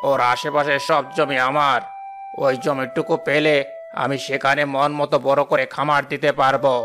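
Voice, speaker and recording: A man's voice: mid-range voice, flat delivery, moderate pace, background noise, lightly acted. A man voicing a greedy, menacing village landlord in a fairy-tale cartoon, pressing and threatening as he talks. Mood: pushy, demanding. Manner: insistent, threatening.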